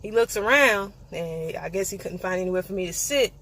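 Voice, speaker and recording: A woman's voice: medium pitch, animated, brisk, fairly bright tone, a little hiss or hum. A young woman, telling a playful, sassy story in a casual vlog. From eastern North Carolina. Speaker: vlogger.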